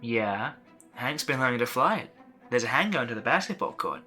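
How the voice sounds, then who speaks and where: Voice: mid-range voice, big pitch swings, fairly quick, pushed and bright, faint music behind. A performer playing a brash, cocky teenage boy with slangy, cheeky talk in an audio drama. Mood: cocky, excited.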